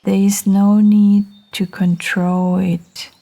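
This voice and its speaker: A woman guiding a meditation in a gentle, soothing manner, speaking in short phrases with long, unhurried pauses. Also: medium pitch, little pitch movement, creaky. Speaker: meditation guide. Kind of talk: guided meditation narration.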